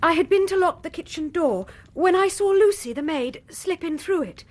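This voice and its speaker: A young gentlewoman of Victorian England in a radio play. Her voice is high and swoops with feeling. She speaks in a genteel English accent with earnest, loyal insistence. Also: lively intonation, moderate pace. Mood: earnest, guarded. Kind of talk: radio drama acting.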